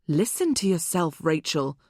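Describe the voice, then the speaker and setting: A woman reading an audiobook, voicing a calm, patronizing adult man. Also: fairly high pitch, unhurried, no echo, studio quality, heavily acted. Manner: patronizing, unruffled.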